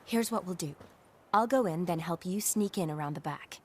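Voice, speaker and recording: An actress playing a confident, wry medic and scholar in a video game, speaking with a teasing, assured manner. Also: female voice, fairly low pitch, moderate pace, darkish tone, husky. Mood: resolute. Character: confident, wry female medic and academy lecturer.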